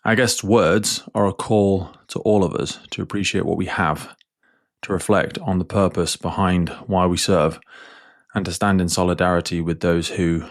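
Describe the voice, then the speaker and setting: Deep-voiced man hosting a podcast. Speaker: podcast host. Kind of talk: scripted podcast introduction and interview.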